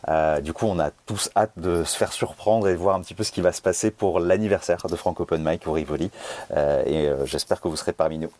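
A man speaking in a measured, slightly hesitant way, giving a promotional message. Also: low voice, a little mellow.

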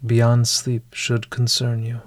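A man narrating a scripted bedtime meditation as a podcast host, in a hushed, deliberate manner with long pauses. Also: mid-range voice, monotone, no room sound.

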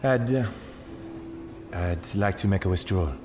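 A man's voice: low-pitched, unhurried, music in the background. An actor playing an anxious, secretive French father in an audio drama, hesitant and guarded.

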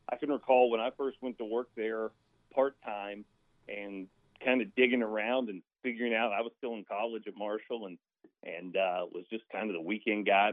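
A man chats casually as a radio guest. His voice is fairly low and comes through a narrow, dry phone line, like a sports announcer calling in. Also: no room sound. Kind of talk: casual chat, reminiscing. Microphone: phone call.

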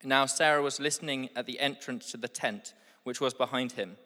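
Male scripture reader, bright and forceful tone, long hall reverb. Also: medium pitch. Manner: steady, measured reading. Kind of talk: reading scripture aloud.

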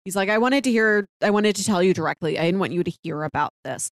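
A woman's voice: medium pitch, fast, dry recording, studio quality. A woman co-hosting a podcast, casually talking over a TV drama in a rambling storytelling way. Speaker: podcast co-host. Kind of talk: casual chat about a TV drama.

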